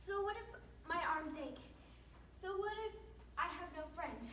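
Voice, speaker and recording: A woman's voice: extremely high pitch, animated, normal speed, sharp tone, exaggerated voice. A woman voicing an eager, boastful little female wooden toy person in a sing-song readers theater style. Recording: echoey room, distant mic, noisy background.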